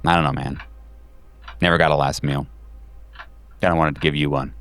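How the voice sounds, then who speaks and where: A man's voice: very deep voice, fairly even intonation, a bit bright, pitched below the performer's own voice. A man voicing a friendly, resigned soldier who talks casually and without hurry in a tabletop horror game. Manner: casual, unhurried buddy talk. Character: laid-back soldier in fatigues, resigned, friendly, eating.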